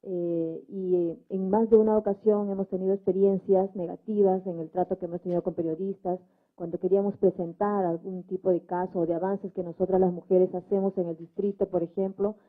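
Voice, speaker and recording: A woman's voice: on the low side, little pitch movement, brisk. A woman community organiser speaking spontaneously at a debate, earnest and emphatic, with repetitions. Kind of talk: spontaneous testimony at a public debate. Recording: narrow, phone-like debate recording.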